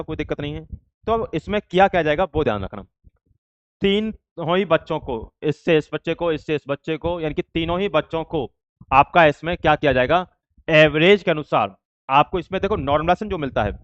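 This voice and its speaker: A man's voice: high-pitched, clear, resonant tone, no room sound, lo-fi sound. A man teaching exam students in an informal way, explaining step by step with repeated examples.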